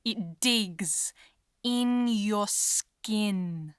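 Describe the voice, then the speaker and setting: A woman's voice: mid-range voice, extremely slow, smooth, no echo, studio quality. A woman playing a stern, accusing chorus voice in a theatrical audio tragedy. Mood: stern, relentless. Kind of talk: dramatic theatrical audio play.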